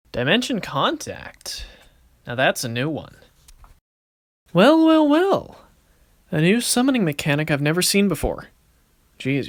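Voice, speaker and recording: A man's voice: fairly high pitch, a bit bright, no echo, clean studio sound. A man voicing a confident, wisecracking young card duelist in an anime fan production, casual and theatrical. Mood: playful. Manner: casual, wry, theatrical when announcing moves.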